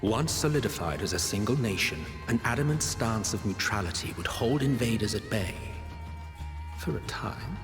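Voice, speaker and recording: A world-weary, sardonic male villain played by a male actor narrates a game cutscene with grandiose, deliberate theatricality. His voice sits on the low side and rings out in a long, echoey reverb, with music playing behind him. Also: expressive, lightly acted. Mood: measured, storytelling, then ominous.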